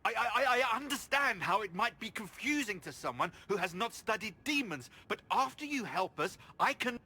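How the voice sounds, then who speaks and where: High-pitched, flustered, self-important mage. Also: male voice, sing-song, normal speed, pushed and bright, husky, heavily acted. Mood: defensive, alarmed. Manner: defensive, condescending, stammering under pressure. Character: flustered, self-important human male mage pleading his case. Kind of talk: dramatic video game dialogue.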